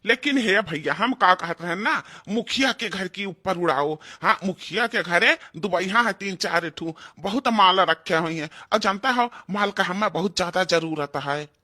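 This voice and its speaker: A man's voice: high-pitched, moderate pace, few pauses, bright, forceful tone, heavily acted. A man voicing an eager, greedy village thief in an Awadhi comedy cartoon, insistent, with an Awadhi accent. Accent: Awadhi, eastern Uttar Pradesh.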